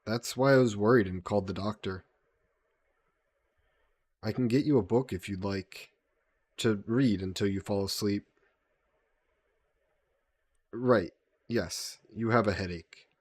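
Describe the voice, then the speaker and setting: A man playing a gentle, guilt-burdened vampire caretaker in an intimate audio roleplay, speaking politely and formally with some hesitation. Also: on the low side, unhurried, pauses often, breathy edge, no room sound. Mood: gentle, reassuring. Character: gentle, guilt-burdened male vampire employer caring for a guest.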